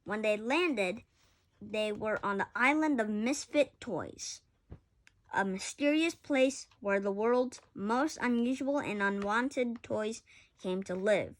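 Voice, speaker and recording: A woman's voice: mid-range voice, takes it slowly. A woman reading a children's picture book aloud expressively, with occasional stumbles and self-corrections. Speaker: story reader.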